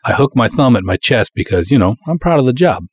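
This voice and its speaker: A man's voice: medium pitch, animated, fast talker, breathy edge, dull audio. A man playing a proud, folksy small-town cop who narrates his own pulp detective story in breezy, wisecracking, colloquial style. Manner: breezy, colloquial, hard-boiled wisecracking delivery. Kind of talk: dramatic first-person pulp story narration.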